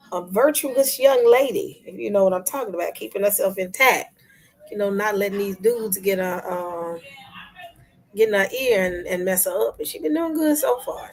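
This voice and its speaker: A woman hosting a casual livestream chat, talking to her viewers with soft whispery asides and sudden loud bursts. Her voice is husky, as if she is losing it. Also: medium pitch. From Memphis, United States. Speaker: livestream host.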